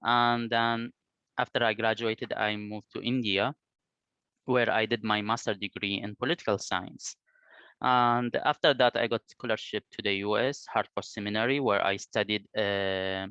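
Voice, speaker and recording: A man from Iraq in his thirties, a native Arabic speaker, answering interview questions slowly with many hesitations. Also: lowish voice, steady tone, pushed and bright, smooth, no echo, muffled recording. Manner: slow, hesitant, many fillers. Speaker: researcher, interview guest.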